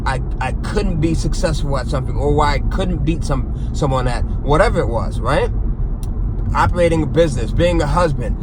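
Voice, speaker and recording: Man, motivational talk, higher-pitched, keeps asking "right?", clear traffic noise outdoors. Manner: conversational, repeats "right?" often; stumbles and restarts words. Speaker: business coach.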